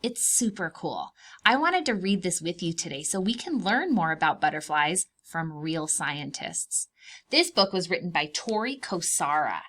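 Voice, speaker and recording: A woman's voice: lowish voice, very animated, moderate pace, fairly bright tone. A woman preschool teacher reading aloud to young children, explaining things simply, asking questions and drawing out key words.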